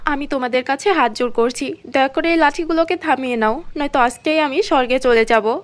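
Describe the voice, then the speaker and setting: A woman's voice: sits high, brisk, no echo, close to an everyday voice. A woman playing a greedy, scheming aunt who pleads melodramatically in a fairy-tale cartoon. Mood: desperate pleading.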